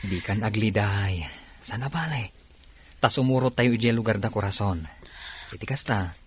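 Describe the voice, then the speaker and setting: Low-voiced, reassuring Filipino husband, acted by a man. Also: normal speed, a little hiss or hum, close to an everyday voice. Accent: Filipino, Ilocano. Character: attentive husband gently reassuring his grieving wife. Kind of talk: Ilocano radio drama acting.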